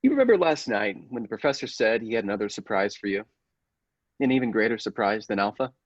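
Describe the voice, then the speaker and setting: A man playing a megalomaniac robot who passes for human, a coldly menacing villain speaking formally and deliberately in a classic radio play. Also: fairly low pitch, lively intonation, dry recording, lo-fi sound, voice pushed down. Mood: gloating, menacing.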